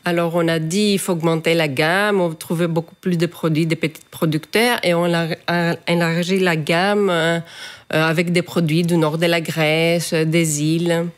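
A woman from Greece with a Greek accent, a guest answering informally in halting, non-native phrasing. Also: lowish voice, steady tone. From Crete, Greece. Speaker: food importer, interview guest.

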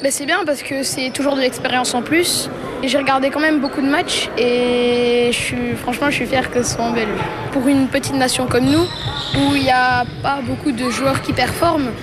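A man's voice: very high voice, steady tone, moderate pace. A young man, a basketball player, answering an interview casually and earnestly. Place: outdoor basketball court. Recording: noisy outdoor field recording.

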